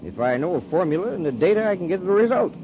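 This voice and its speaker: A man's voice: high-pitched, very animated, fast talker, background noise. A man playing an eager, unassuming amateur math whiz, with an American accent, in a 1950s radio serial. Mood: eager, modest. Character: eager, folksy male amateur with uncanny math knack. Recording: dull 1950s radio recording.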